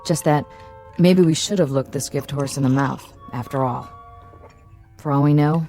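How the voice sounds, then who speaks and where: A quiet, uneasy assistant with a low, mellow voice. She speaks thoughtfully, with soft music faintly behind her in an audio drama. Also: moderate pace. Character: uneasy female assistant code breaker. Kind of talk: radio drama acting.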